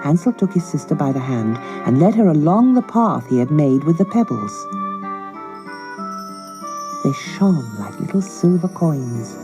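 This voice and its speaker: A woman narrating a children's storybook in a measured, expressive, scripted style. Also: low voice, music in the background. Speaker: audiobook narrator.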